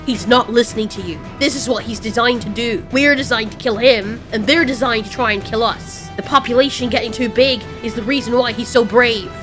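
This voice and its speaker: A woman's voice: on the high side, brisk, few pauses, music in the background, natural voice. A woman voicing a huge male predator beast, a defensive but devoted mate in an audio story, grumbling and placating. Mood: agitated.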